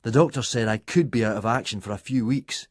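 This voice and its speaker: A man's voice: lowish voice, fairly quick, no echo, studio quality, close to an everyday voice. Man voicing a wry, easygoing teenage lad. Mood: amused. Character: wry, easygoing, friendly injured teenage boy.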